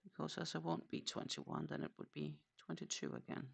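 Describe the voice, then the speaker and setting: Gravelly low Danish woman chatting. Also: big pitch swings, a little fast. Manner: chatty, counts stitches aloud, answers viewers. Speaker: crochet live streamer. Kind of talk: casual live-stream chat.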